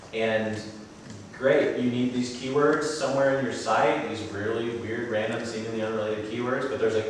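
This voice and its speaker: Booming man in an echoey hall. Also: slight background noise. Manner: booming, loud voice; relaxed and conversational, with frequent asides. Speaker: conference speaker. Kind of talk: presenting a talk with audience questions. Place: conference session room. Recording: very echoey room, voice from far off.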